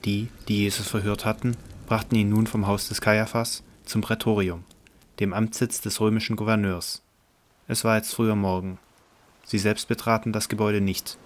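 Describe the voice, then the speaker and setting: A man narrating a scripted Bible audio drama in a measured, sober way. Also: fairly low pitch, a little hiss or hum.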